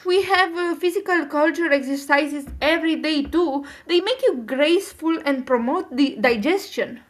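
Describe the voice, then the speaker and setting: A woman's voice: high voice, unhurried, pitched above the performer's own voice. A woman voicing an earnest, dreamy, chattering schoolgirl in a storybook reading, effusive and wordy. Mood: dreamy, rapturous.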